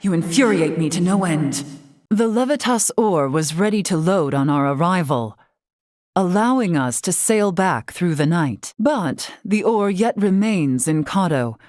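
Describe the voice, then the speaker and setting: An actress playing a composed, wise fantasy woman in a video game, speaking in measured, archaic and eloquent phrases with dry wit. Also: on the low side, lively intonation, a little mellow, dry recording. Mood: indignant. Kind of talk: scripted video game character acting.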